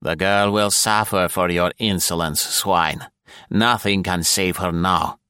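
A man's voice: low-pitched, fairly even intonation, takes it slowly, bright, forceful tone, clean tone, no room sound, studio quality, full character voice. A male narrator playing a cold, arrogant security police major, clipped and menacing. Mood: indignant.

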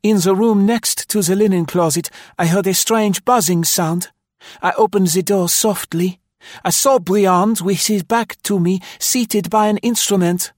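Audiobook role: scheming, gasping woman spy voiced by a male reader, high, breathless and theatrically remorseful, recorded dry. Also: no room sound. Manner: breathless, pleading, dramatic. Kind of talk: audiobook character acting.

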